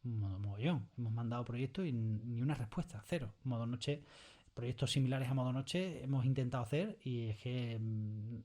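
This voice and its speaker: Mellow-voiced man from a casual Spanish interview. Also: medium pitch, moderate pace. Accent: Spain. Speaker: audio fiction scriptwriter, interview guest.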